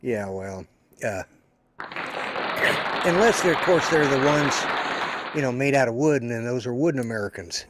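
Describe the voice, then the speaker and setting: Hoarse older man rambling on a stream. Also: mid-range voice, lively intonation, normal speed, long pauses, a crowd in the background, noisy background. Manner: rambling, self-deprecating joker. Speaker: co-host. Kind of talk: casual live-stream chat.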